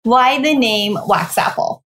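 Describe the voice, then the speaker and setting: A woman's voice: a little high, expressive, slow, a bit bright, roomy sound, slight background noise. A woman hosting a podcast, presenting and interviewing in a casual, conversational style. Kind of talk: scripted intro and casual interview.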